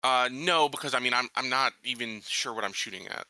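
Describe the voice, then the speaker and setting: A man's voice: medium pitch, brisk, pushed and bright, dry recording. A man playing a tabletop roleplaying game, casually thinking aloud as he describes what his character does.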